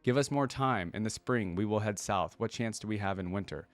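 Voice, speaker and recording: A man's voice: fairly low pitch, a little fast, no echo, soft background music, natural voice. Man voicing an old frost mage, village elder, earnest, imploring, emotive, fantasy storybook, dry close sound. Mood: pleading.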